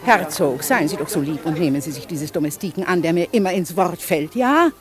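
An actress in a 1950s German radio play playing an affected, exclamatory high-society lady who flirts and teases. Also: animated, brisk, lightly acted. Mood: excited, affected. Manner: affected, exclamatory, playfully teasing. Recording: 1950s radio recording with music.

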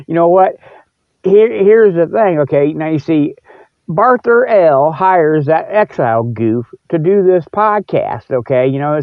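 A man's voice: a little high, no echo. A man hosting a podcast, rambling casually in a folksy, repetitive, stop-and-start way. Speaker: podcast host. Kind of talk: casual rambling solo talk-show monologue. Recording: narrow, phone-like sound.

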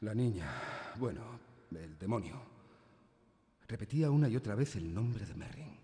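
A man's voice: on the low side, expressive, moderate pace, warm tone, breathy edge, heavily acted. A man acting in a radio drama as an earnest priest who speaks respectfully as an exorcist's assistant. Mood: deferential, uneasy.

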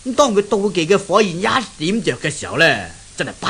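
A man's voice: high voice, very animated, fast, fairly bright tone, breathy edge, exaggerated voice. An actor playing a genial, eager Japanese man who hosts and tells stories in a 1970s Cantonese radio drama. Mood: earnest storytelling. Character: genial, eager Japanese male host and storyteller. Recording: hissy 1970s radio recording.

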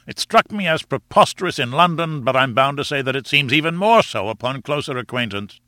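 A bitter elderly professor, acted out by a male narrator, speaks with a bright, cutting edge. He is formal, indignant and scornful of everything, like a sceptic in an adventure storybook. The sound is close and dry. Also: medium pitch, expressive, fairly quick, no echo, voice pushed up.

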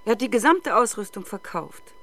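A woman's voice: on the high side, animated, brisk, fairly bright tone. An actress playing a middle-aged, defensive woman who stubbornly insists under police questioning, in a 1970s German radio crime drama. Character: defensive mother-in-law stubbornly denying police accusations.

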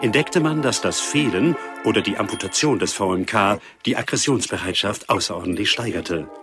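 An actor playing a formal, matter-of-fact narrator reading a scientific report in a radio drama. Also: male voice, on the low side, a little fast, music in the background, lightly acted. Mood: sober, factual. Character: sober, factual male narrator of a scientific report.

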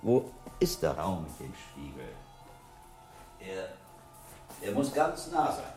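An actor playing a shrewd, scholarly older monk in a German radio drama, musing aloud like a patient teacher and investigator. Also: male voice, low-pitched, wildly swooping pitch, slow pace, a little mellow, faint music behind, heavily acted. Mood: musing, intrigued.

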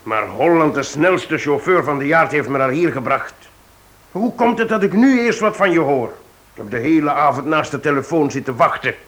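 An actor playing an impatient, authoritative Scotland Yard gentleman in a radio detective play. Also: fairly high pitch, lively intonation, moderate pace. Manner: urgent, authoritative. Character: brisk, impatient titled male Scotland Yard chief.

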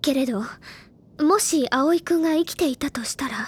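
Bookish high-school girl, played by a woman, high register, earnest and literary storyteller for audio drama, loud music underneath. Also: natural voice. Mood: grave, intent. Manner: deliberate, literary, feminine phrasing. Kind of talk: Japanese audio drama acting, dramatic explanatory monologue. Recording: loud music bed behind the voice.

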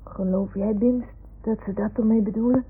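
A woman playing an earnest, anxious, gently bossy young boy with a husky voice in an old radio play. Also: mid-range voice, fairly even intonation, normal speed, over music, lightly acted. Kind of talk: 1960s-70s radio play acting. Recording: tinny old radio recording.

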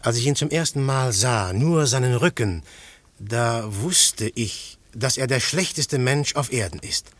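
Grüblerischer Detektiv. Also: male voice, mid-range voice, lively intonation, measured pace, no echo. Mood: brooding. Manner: fervent, declamatory, shifting to hushed musing. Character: intense male detective, brooding philosopher. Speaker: detective narrator. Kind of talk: radio play acting.

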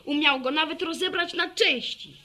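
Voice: high-pitched, moderate pace, sharp tone, a little hiss or hum. A woman playing an enthusiastic, know-it-all village boy who lectures on technical detail in a radio drama. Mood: lively, boastful. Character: eager motorbike-mad village boy, chatty know-it-all. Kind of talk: Polish radio drama acting.

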